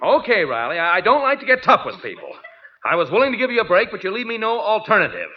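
An actor playing a stern, exasperated landlord in a 1940s radio sitcom, speaking deliberately and insistently. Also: male voice, high-pitched, big pitch swings. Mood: fed up, stern. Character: exasperated, stern landlord demanding his rent. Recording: muffled, faintly noisy old radio recording.

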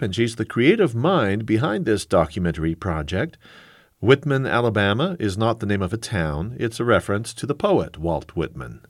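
A man hosting a radio show, reading a scripted introduction in an animated, questioning way before interviewing his guest. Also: fairly low pitch, no echo, clean studio sound.

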